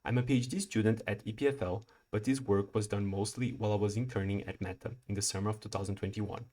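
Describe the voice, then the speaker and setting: Lowish-voiced young Brazilian man presenting. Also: fairly even intonation, dark tone. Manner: measured, explanatory, with occasional restarts. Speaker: PhD student presenting research. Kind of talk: prepared conference talk.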